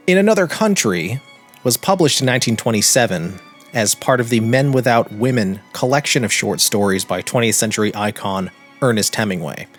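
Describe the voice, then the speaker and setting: An American man speaks as a podcast host in a medium-pitched voice. He phrases things deliberately and earnestly, leaning on key words for emphasis. Music plays softly behind him. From United States. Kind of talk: podcast introduction and personal commentary. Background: background music.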